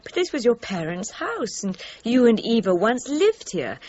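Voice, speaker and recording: A woman's voice: a little high, sing-song, moderate pace, a little mellow. An actress playing an inquisitive, sceptical woman reporter, probing with questions in naturalistic radio drama. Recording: dull lo-fi radio recording.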